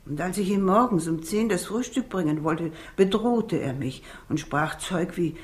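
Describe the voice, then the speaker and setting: An actress in a 1960s radio crime drama playing a stout, sombre older housekeeper who recounts things in a measured way. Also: female voice, fairly low pitch, expressive, natural voice. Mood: grave, reporting.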